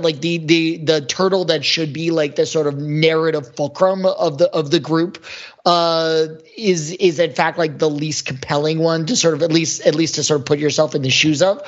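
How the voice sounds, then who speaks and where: A man talking casually as a podcast panelist, rambling and halting as he repeats words to build a point. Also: on the high side. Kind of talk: casual pop-culture discussion. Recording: dull lo-fi audio.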